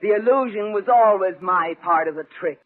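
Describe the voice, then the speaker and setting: Woman acting a husky, mysterious radio femme fatale. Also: medium pitch, moderate pace, soft background music, natural voice. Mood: coolly triumphant. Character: mysterious, fatalistic stage illusionist's woman, secretly scheming. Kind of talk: 1940s radio thriller acting. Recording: tinny, band-limited old radio recording.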